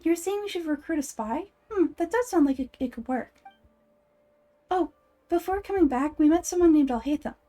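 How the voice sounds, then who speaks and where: A woman voicing a small, chirpy, childish girl companion in an anime game fan dub, blunt, pushy and excitable. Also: high voice, moderate pace. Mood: excited. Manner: speaks of self in third person, pushy, excitable.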